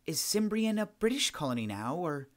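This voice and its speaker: A man's voice: on the high side, sing-song, normal speed, voice pushed up. A man voicing a blunt, friendly, informal woman adventurer in an audiobook. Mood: wry, questioning. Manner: informal, plain-spoken.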